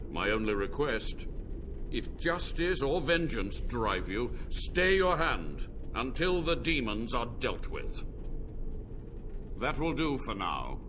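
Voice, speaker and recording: A proud old sorcerer who has outlived his century, weighing every word in a slow, measured cadence. His pitch swings widely between low brooding and sudden rises. Steady ambient noise runs beneath this game cutscene audio. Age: older. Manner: slow, grave, measured delivery. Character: ancient male mage, proud, unrepentant scholar. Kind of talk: video game cutscene dialogue.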